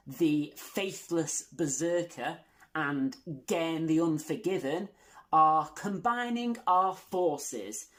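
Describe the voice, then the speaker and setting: Woman, low pitch, unhurried delivery, casual channel announcement, self-deprecating, tongue-in-cheek. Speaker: video channel host.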